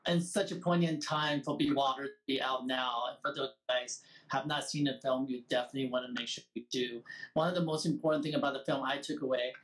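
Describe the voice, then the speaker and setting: A man's voice: medium pitch, steady tone, fast, fairly bright tone. A man with a Taiwanese Mandarin accent, hosting a talk show and speaking earnestly and emphatically in an interview. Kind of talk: interview conversation. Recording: voice sounds distant from the mic.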